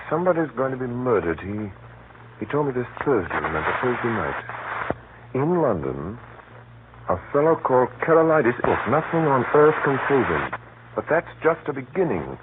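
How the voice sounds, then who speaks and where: An actor playing an urgent, shaken gentleman telling of danger in a 1930s radio drama, with dramatic, emphatic delivery. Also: mid-range voice, lightly acted. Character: urgent, shaken gentleman recounting a deadly spy conspiracy. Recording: scratchy, muffled 1930s radio recording.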